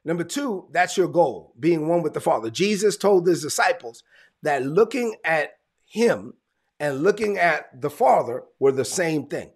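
Gravelly-voiced New preacher. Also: male voice, on the high side, animated, unhurried. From New York. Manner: repetitive, emphatic preaching with lists. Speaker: pastor. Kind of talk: sermon.

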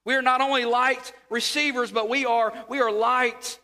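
A man's voice: very high-pitched, fairly even intonation, moderate pace. A man preaching a sermon, sniffly from a sore throat, repeating words and calling for amens. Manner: repeats words, rises to near shouts, calls for amens. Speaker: pastor. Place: church sanctuary. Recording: long hall reverb.

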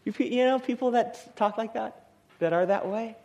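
A male pastor giving a sermon in church, with a soothing voice and a humorous, lively manner of delivery. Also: high-pitched, normal speed, gravelly. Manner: lively, humorous, acts out tired people.

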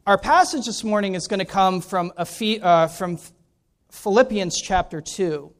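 A man's voice: high voice, expressive, unhurried. A middle-aged man with an American accent, speaking informally and with self-deprecation as a guest preacher introducing himself and his sermon to a congregation. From Maryland, United States.

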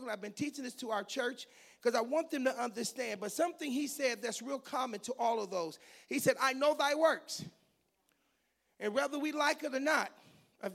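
A man in his fifties, a pastor with a raspy voice, preaching a teaching sermon with goofy, joking asides between scripture reading and prayer. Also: very high-pitched, fairly bright tone. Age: 50s.

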